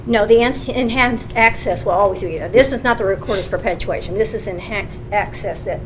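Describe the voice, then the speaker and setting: Earnest woman presenting over steady hum. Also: medium pitch, brisk. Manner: earnest, explaining patiently with restarts. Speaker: county recorder. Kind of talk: presentation to a county council. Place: council meeting room. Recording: public meeting recording with steady hum.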